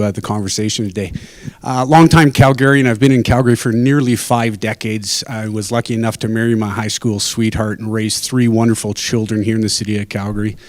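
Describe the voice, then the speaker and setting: A man's voice: on the low side, no room sound. Friendly man in his fifties, dry sound. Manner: friendly, loose delivery with frequent fillers. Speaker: mayoral candidate. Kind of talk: opening statement at a forum.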